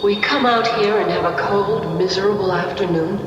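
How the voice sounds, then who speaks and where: Actress as demanding spurned lover, intense, vintage radio drama, long hall reverb, noisy recording. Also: female voice, medium pitch, normal speed, highs cut off, close to an everyday voice. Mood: bitter, pleading. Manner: intense, accusing. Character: bitter, demanding mistress refusing to be cast aside. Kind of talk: old-time radio drama acting.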